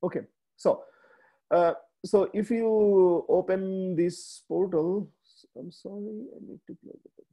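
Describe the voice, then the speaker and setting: A man in his thirties from Bangladesh, a native Bengali speaker with a Bangladeshi accent, giving a slide presentation on a video call, halting with many fillers and restarts. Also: high voice, steady tone, dark tone. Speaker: webinar speaker. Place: small room indoors.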